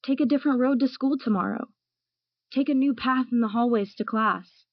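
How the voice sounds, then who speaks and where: A young woman playing a patient girl who gently gives life advice in an audio drama. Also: fairly high pitch, normal speed, no echo, close to an everyday voice. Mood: encouraging. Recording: dull lo-fi recording.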